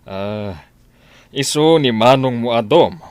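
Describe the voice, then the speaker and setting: A man playing a genial, deliberate office boss in a comedy radio drama, drawling with hums and pauses. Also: mid-range voice, darkish tone, clear, resonant tone, close to an everyday voice.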